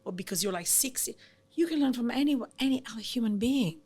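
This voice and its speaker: A middle-aged woman with an Italian accent, a company founder talking as a guest in a podcast interview, effusive and animated, running her thoughts together. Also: a little high, fast, slightly rough. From Italy. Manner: effusive, animated, talks in long run-on thoughts.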